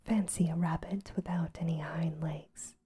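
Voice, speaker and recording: A woman's voice: low voice, fairly even intonation, measured pace, warm tone. A woman voicing a bold, blunt wild male rabbit in a whisper. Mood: teasing.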